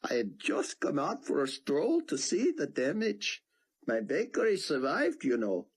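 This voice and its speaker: A man's voice: fairly high pitch, huge pitch swings, measured pace, breathy edge, voice pushed up, full character voice. A man voicing an older male rabbi, giddy and half-breathless with laughter. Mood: giddy storytelling.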